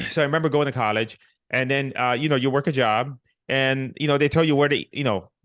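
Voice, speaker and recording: Man, middle-aged, from puerto rico, podcast guest, reflective, casual storytelling, many fillers, mid pitch. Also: brisk, a bit bright. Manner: reflective, many restarts and "you know" fillers.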